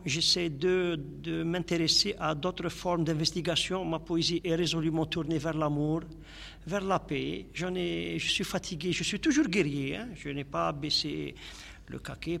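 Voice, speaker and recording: A man's voice: a little high, creaky, a bit bright, long reverb tail. A man from Algeria, a poet, speaking in a radio interview and reciting his poems in a lyrical, grandiloquent way. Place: radio studio.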